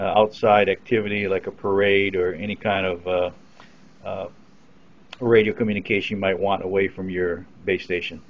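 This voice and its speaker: A man with a fairly low voice talks through a piece of equipment in an unscripted, matter-of-fact way. He sounds like a hobbyist giving a practical demonstration. The sound is dull and lo-fi, as from a simple camera microphone. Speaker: amateur radio hobbyist demonstrating his equipment.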